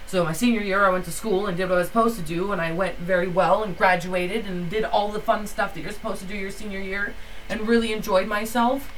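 A woman's voice: medium pitch, steady tone, fairly quick. A woman in her forties from the United States, co-hosting a podcast with her daughter, chatting casually and rambling, with frequent swearing. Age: 40s. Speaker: podcast co-host, mother. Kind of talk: casual chat. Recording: slightly distant mic, faint background noise.

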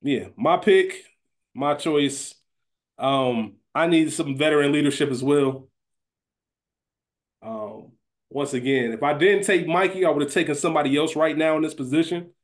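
A man's voice: a little high, normal speed. A man co-hosting a podcast, chatting casually in colloquial, slangy language. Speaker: podcast co-host. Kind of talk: casual chat.

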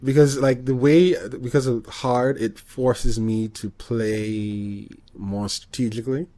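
A man co-hosting a podcast, chatting casually about video games and explaining things in an informal, halting way. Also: mid-range voice, fairly even intonation, warm tone. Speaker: podcast co-host.

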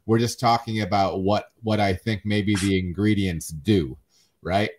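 A man's voice: lowish voice, steady tone, measured pace, darkish tone, a little hiss or hum. A middle-aged man hosting a podcast, talking casually and thinking aloud, often repeating words. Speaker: podcast host. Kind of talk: casual chat.

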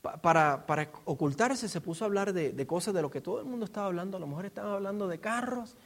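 A man's voice: high-pitched, fast. A man with a Puerto Rican accent preaching in an informal, storytelling way, explaining scripture and asking rhetorical questions. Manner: informal, acts out quotes and asks rhetorical questions. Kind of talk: expository sermon, conversational storytelling.

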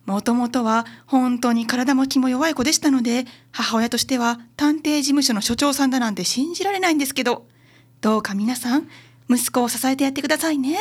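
Doting mother of an adult son, female actor: high voice, gracious, polite, ladylike radio drama delivery. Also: fairly quick, husky. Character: genteel, doting, trusting mother of grown son.

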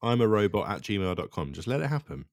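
Deep-voiced co-host, lots of ums, dry sound, voice goes up and down a lot. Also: male voice, big pitch swings, fast, no echo. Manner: hesitant, many ums and uhs, dry humour. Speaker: podcast co-host. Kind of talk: casual chat.

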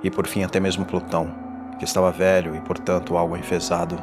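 A man playing a tormented condemned man in a gothic horror audio drama, confessing in a formal, theatrical and deliberate delivery. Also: low voice, little pitch movement, close to an everyday voice. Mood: dark, remorseful. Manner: theatrical, deliberate, ornate diction. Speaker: narrator. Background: music.

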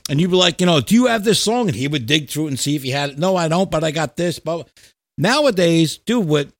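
A man with a fairly high voice talks casually as a musician guest on a podcast. He tells things with animation and easy swearing, and the sound is dry and close. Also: animated, quick pace, dry recording. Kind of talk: casual chat.

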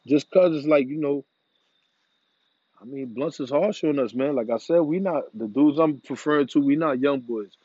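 A man's voice: on the high side, normal speed, dark tone, husky, no echo. Chill guy rambling and cursing, dead dry room, kinda dull lo-fi audio. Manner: laid-back, rambling and profane, with slang. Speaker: vlogger. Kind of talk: casual monologue about smoking.

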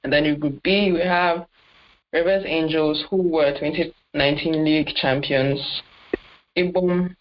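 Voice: mid-range voice, fairly even intonation, measured pace. Chatty co-host, dull lo-fi audio. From not Nigerian. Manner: chatty, hesitant, self-correcting. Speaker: podcast co-host. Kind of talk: casual sports chat.

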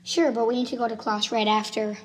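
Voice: medium pitch, level tone, brisk, natural voice. A performer playing an earnest, insistent schoolboy in an amateur radio drama. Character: Timmy, earnest schoolboy who insists on what he saw. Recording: home recording with clear noise and clicks.

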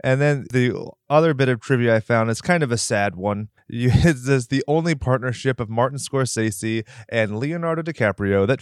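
A man hosting a horror movie podcast, casual and jokey, full of quick playful asides. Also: medium pitch, clean tone, dry recording, studio quality. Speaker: podcast host.

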